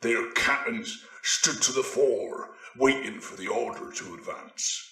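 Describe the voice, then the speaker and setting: Solemn bright-voiced male fantasy narrator. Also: mid-range voice, takes it slowly, reverberant. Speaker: voice actor and narrator. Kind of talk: dramatic scripted fantasy narration.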